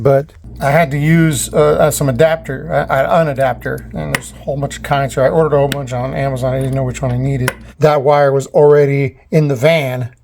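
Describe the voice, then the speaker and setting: Male DIY vlogger, slightly high voice, informal rambling how-to delivery, handheld recording with faint noise and clicks. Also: gravelly, darkish tone. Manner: informal, rambling, repeats himself. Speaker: vlogger showing a DIY installation. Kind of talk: casual hands-on how-to narration. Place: inside and around a parked van.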